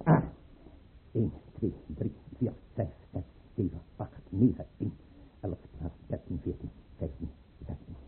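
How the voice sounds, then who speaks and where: An actor playing a capricious tyrannical tsar in a Dutch radio play, with clipped orders and muttered asides. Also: male voice, low voice, animated, measured pace, narrow, tinny audio, a little hiss or hum. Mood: muttering, fixated. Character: capricious tyrannical tsar, obsessed with drill and buttons. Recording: band-limited old radio play recording.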